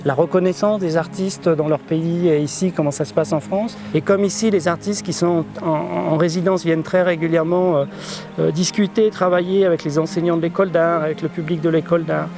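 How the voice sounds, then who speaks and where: A man from an art centre explaining its work in a measured way, with occasional hesitations. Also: on the high side, steady tone. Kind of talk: explanatory interview. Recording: music bed under voice.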